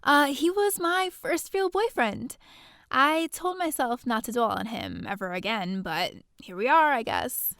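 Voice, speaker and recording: A woman's voice: high voice, very animated, measured pace, a bit bright, clean tone, no room sound, clean studio sound, heavily acted. A woman playing a chatty, bookish young heroine in a space-opera audio drama, theatrical and sardonic, full of casual asides. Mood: reluctant musing. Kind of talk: first-person scripted audio drama narration.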